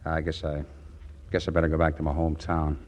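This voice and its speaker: A man's voice: a bass voice, monotone, quick pace, darkish tone. An actor playing a naive small-town American young man in a 1940s radio comedy. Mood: dejected. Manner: earnest, guileless. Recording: noisy vintage 1940s radio recording.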